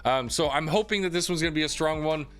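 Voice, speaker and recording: A young man, a gaming YouTuber, giving a casual game guide in an upbeat, chatty way with lots of fillers, his voice slightly hoarse. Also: on the high side, quick pace, fairly bright tone, faint music behind.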